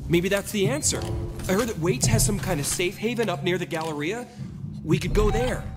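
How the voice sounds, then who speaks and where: Nervous, high-voiced male survivor. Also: expressive, a little fast. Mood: hopeful, nervous. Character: anxious, hopeful male survivor seeking safe haven. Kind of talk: sci-fi horror game acting. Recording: loud music over the voice.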